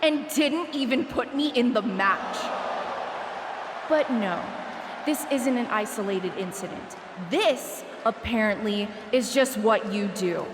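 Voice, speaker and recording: A vengeful female wrestler who taunts and accuses at a slow, deliberate pace. Her voice sits in a roomy echo with a long tail, and steady noise runs underneath. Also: a little high. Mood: taunting. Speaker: video game character, rival wrestler. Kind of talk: video game cutscene acting.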